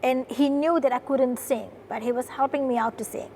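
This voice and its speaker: Woman, from Fiji, high pitched, earnest interview guest, faint noise. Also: fairly even intonation, brisk, dark tone. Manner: earnest, tag questions with "right?". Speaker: guest, production company founder. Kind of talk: interview conversation. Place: studio.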